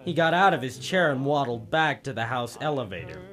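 A man's voice: medium pitch, big pitch swings, brisk, fairly bright tone, faint music behind. An actor playing a brash, wisecracking detective's legman and narrator, slangy and wry, in old radio drama style. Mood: narrating. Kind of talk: 1950s radio drama acting.

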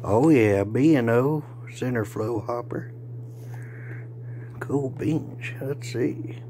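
Hoarse folksy country guy vlogging. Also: mid-range voice, slow, mellow, slight background noise. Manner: folksy, joking, drawling. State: hoarse, losing his voice. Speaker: railfan vlogger. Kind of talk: casual folksy vlog. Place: outdoors by a car, then indoors at home. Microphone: phone at arm's length.

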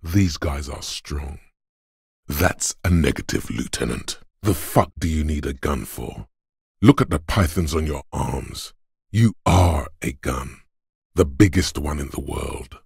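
Bottomless gravelly macho drill-coach voice. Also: male voice, rumbling low, animated, takes it slowly, darkish tone, no echo, clean studio sound, heavily acted. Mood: macho, hectoring, then commanding. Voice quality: gravelly, husky. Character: gruff, macho inner voice of physical strength, drill-coach temper. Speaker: game character voice. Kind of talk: scripted video game narration.

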